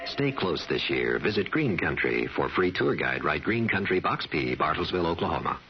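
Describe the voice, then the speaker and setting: A man's voice: sits low, very animated, normal speed, slight background noise. An American man narrating a scripted radio tourism commercial in a folksy storytelling manner. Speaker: commercial announcer. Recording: muffled old radio broadcast, music underneath. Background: music.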